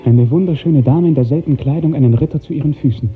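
A man narrating a literary 1950s German radio drama in a dramatic, declamatory style with measured diction. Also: on the high side. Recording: 1950s radio recording, thin narrow band, music.

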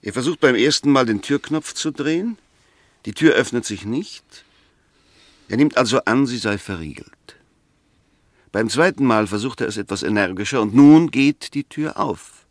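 An actor playing an insistent, reasoning defense barrister in a 1960s German radio play, arguing rhetorically and emphatically. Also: male voice, medium pitch, expressive, moderate pace, dry recording, lightly acted. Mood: emphatic, insistent.

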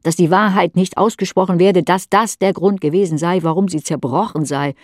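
A woman's voice: lowish voice, normal speed, a little mellow, dry recording, clean studio sound. A woman reading scripted literary narration in the second person for an audio drama.

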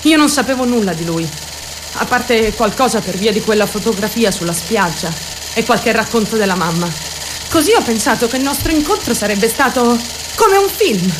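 An actress playing a naive, lovestruck, wounded teenage girl in an intimate radio drama monologue, confiding directly in her listeners with dramatic pauses. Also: on the high side, background noise, lightly acted. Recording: music under the voice. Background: music.

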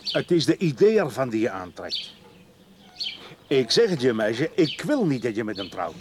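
A man's voice: medium pitch, sing-song, normal speed, background noise, close to an everyday voice. An actor playing a stern, disapproving middle-aged father in a radio drama, lecturing firmly in clipped phrases. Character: stern, disapproving, domineering father of a grown daughter.

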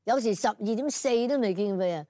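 A woman's voice: mid-range voice, brisk. An older woman with a Hong Kong Cantonese accent, reminiscing conversationally as an interviewee.

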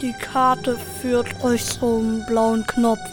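A young female amateur playing a solemn cursed prisoner of a forest, reciting in a measured way in a school radio play. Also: fairly high pitch, little pitch movement, music in the background.

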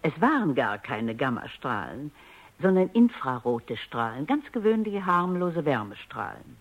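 A woman's voice: sits low, sing-song, a little slow, breathy edge, full character voice, rough recording. A self-assured woman scientist in a radio play. She speaks with analytical precision, phrasing each thought like an expert. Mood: composed, explanatory. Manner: precise, lecturing, composed. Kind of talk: German radio drama acting.

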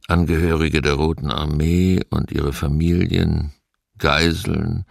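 An actor playing a grave witness in a radio documentary, with a deep, gravelly voice and solemn, slow narration. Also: male voice, dry recording, studio quality, exaggerated voice.

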